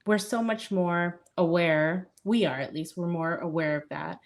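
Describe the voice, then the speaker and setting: A woman co-hosting a podcast in casual chat, speaking in an animated, emphatic way, often laughing and breaking off mid-thought. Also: on the low side, measured pace. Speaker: podcast co-host.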